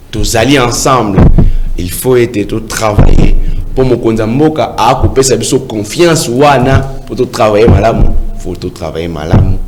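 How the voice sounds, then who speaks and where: A man's voice: on the low side, poor audio. A man with a Congolese French accent, a local council candidate speaking earnestly in an interview and exhorting voters, switching between French and Lingala. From Kinshasa, Congo. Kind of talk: campaign interview. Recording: loud background noise.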